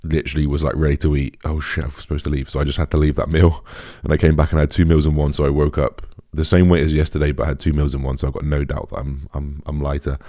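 A young British man with a deep, raspy voice, chatting casually as a podcast host in a rambling, informal way. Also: little pitch movement, quick pace, few pauses, dark tone, no room sound, clean studio sound. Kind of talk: casual solo podcast chat.

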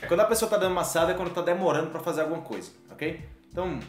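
A man hosting a casual language lesson, chatty and quick, checking in with "ok?" all the time. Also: a little high, reverberant, soft background music. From Brazil. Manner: quick, chatty, says "ok?" often. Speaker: language teacher host. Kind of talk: casual teaching chat.

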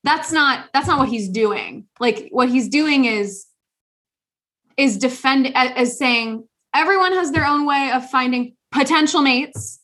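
Bright-voiced woman telling a story. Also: sits high, measured pace. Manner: rambling, animated storytelling with many restarts. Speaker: podcast co-host. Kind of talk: casual chat.

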